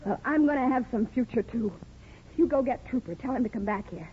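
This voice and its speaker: A woman's voice: fairly high pitch, brisk, slight background noise, natural voice. An actress playing an emotional, lonely small-town wife and mother in a 1950s radio drama. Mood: bitter, angry. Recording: tinny 1950s radio broadcast recording.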